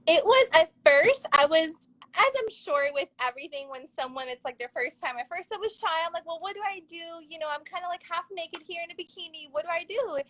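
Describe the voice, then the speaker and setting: High-voiced young woman, chatty. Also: brisk, fairly bright tone. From Serbia. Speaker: guest, model and actress. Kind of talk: casual interview chat.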